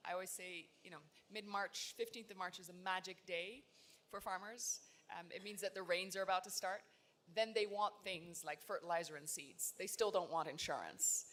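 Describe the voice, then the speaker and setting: A woman's voice: on the low side, normal speed. Woman founder speaking on a conference panel. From Tanzania. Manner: relaxed, self-deprecating storytelling with frequent fillers. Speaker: startup founder, panel guest. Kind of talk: conversational panel answer. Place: large loud conference hall.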